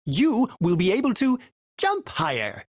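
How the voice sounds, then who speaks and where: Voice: mid-range voice, huge pitch swings, a little slow, no room sound, clean studio sound. An actor voicing a cheerful, fussy male ship AI who speaks formally, calls himself by name and stutters with glitchy repeats. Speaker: ship companion AI. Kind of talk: video game voice lines.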